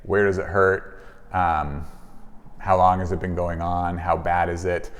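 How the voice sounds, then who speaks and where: A man with a low, deep voice gives an informal lesson in a conversational, explanatory way. He sounds like a practitioner coaching colleagues in everyday language. The recording is heavily reverberant, with the room ringing around his words. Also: level tone, a little slow. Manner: conversational, explanatory, voices example questions and replies. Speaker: chiropractor presenting a business advice video. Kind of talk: informal instructional talk to camera.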